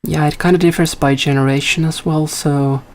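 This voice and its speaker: A man's voice: a little high, fairly even intonation, moderate pace. A man from Finland with a Finland-Swedish accent, whose first language is Finnish, casually thinking aloud while coding and answering a chat question, with many fillers. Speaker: live coder answering chat.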